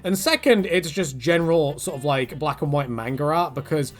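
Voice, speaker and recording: A man casually answering viewer questions in a rambling, animated way, his pitch jumping up whenever he slips into quoted asides. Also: normal speed. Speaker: YouTube creator answering fan questions. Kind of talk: casual Q&A monologue.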